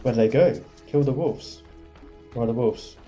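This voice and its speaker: Very mellow-voiced man commenting on gameplay. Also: mid-range voice, measured pace, faint music behind. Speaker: gaming video host.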